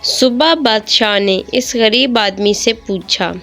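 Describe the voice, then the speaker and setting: A woman's voice: a little high, slow pace, fairly bright tone. A woman storyteller reading a scripted moral tale as narrator. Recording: music behind the voice.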